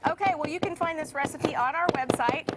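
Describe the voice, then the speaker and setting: A woman's voice: high voice, a little fast, heavy background noise. A woman in her thirties, a TV news anchor on a studio kitchen set, bubbly and playful as she banters during a cooking segment. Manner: bubbly, playful, lots of laughs and teasing.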